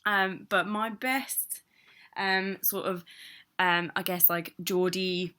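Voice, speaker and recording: A young woman with a Midlands accent, chatting excitedly in a casual video message, with lots of ums and laughs. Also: lowish voice, slow pace. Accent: English Midlands. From born in Newcastle, raised in the English Midlands. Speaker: travel blogger.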